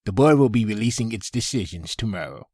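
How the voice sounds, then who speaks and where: Guy playing a nervous polite underling in a comic dub, lowish voice. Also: lively intonation, brisk, a little mellow, dry recording, clean studio sound. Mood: hesitant, hopeful. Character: deferential male subordinate, hesitant and polite. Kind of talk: fan comic dub acting.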